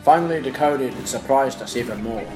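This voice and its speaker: A man's voice: mid-range voice, natural voice, poor audio. A man playing a grandiose theme park founder, presenting formally to investors in an audio drama with music behind. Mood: proud showmanship. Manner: formal, showman-like, measured phrasing. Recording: loud music bed behind.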